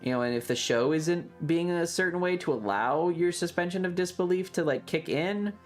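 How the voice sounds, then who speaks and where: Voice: medium pitch, moderate pace, faint music behind. A streamer chatting casually to a live audience in a rambling, opinionated, emphatic way with plenty of swearing. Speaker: livestreamer. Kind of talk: casual chat to a livestream audience.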